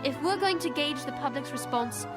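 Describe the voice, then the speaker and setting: A woman voicing a prim, argumentative teenage girl reporter in an animated history series, formal and indignant in manner. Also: high voice, moderate pace. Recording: loud music bed under dialogue.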